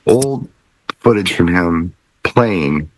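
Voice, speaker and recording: A man's voice: low-pitched, animated, extremely slow, dry recording, low-quality recording. A man in casual podcast chat, speaking emphatically and often repeating his words. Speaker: podcast panelist.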